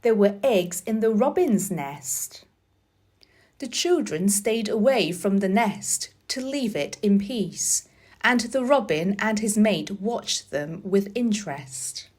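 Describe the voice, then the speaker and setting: A woman reading a children's story aloud as scripted narration, with deliberate, expressive delivery. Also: mid-range voice. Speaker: storybook reader.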